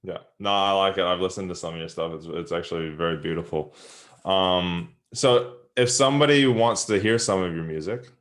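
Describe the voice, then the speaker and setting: Low-voiced man hosting a podcast. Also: normal speed, a bit bright. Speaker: podcast host, interviewer. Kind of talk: casual conversational interviewing.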